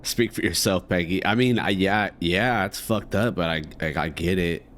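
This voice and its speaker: A man's voice: deep voice, moderate pace, a bit bright, slight background noise. A man giving casual playthrough commentary on a game, slangy and joking, often muttering to himself. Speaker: gamer reacting to a game.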